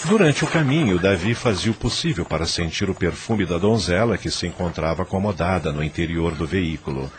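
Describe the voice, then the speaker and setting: A man narrating a radio drama in an expressive storytelling manner. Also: low-pitched, brisk, few pauses, slight background noise.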